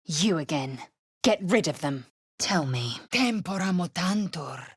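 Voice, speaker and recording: An actress playing a stern, proud, impatient alien warrior woman in a video game, husky and clipped in delivery. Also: on the low side, lively intonation, measured pace, dry recording, studio quality, exaggerated voice. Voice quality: husky, raspy. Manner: clipped, haughty, commanding. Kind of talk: video game character acting.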